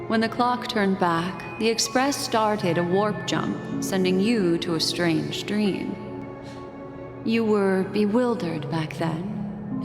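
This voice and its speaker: An actress playing a calm, mysterious storyteller in a game cutscene, speaking soothingly and deliberately, straight to the listener. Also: female voice, medium pitch. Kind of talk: scripted game cutscene narration. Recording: echoey reverb with music behind. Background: music.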